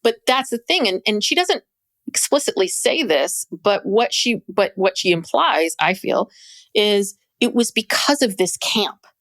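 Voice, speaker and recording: A woman co-hosting a podcast in relaxed, casual chat. She talks in animated bursts, restarts her sentences and laughs often. Her voice sits at a middling pitch, recorded close and dry with no room sound. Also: unhurried, dry recording. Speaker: podcast co-host.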